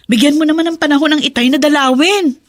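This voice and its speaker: A woman acting a caring, worried adult daughter in a Filipino radio drama, earnest, respectful and gently scolding. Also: sits high, steady tone, fast talker, close to an everyday voice. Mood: earnest pleading.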